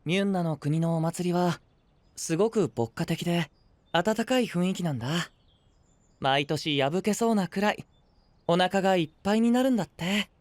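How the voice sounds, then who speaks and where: A man playing a gentle, curious, polite young knight in an anime voice drama, speaking softly with calm teasing. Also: high voice, normal speed, dry recording, close to an everyday voice. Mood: relaxed, chatty.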